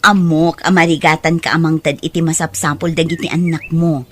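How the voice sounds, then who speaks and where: A woman with an Ilocano accent playing a persuasive, caring aunt in an audio drama, with an expressive, coaxing delivery. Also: deep voice, a little fast, slight background noise, natural voice. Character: Auntie Mikaela, persuasive, caring female relative.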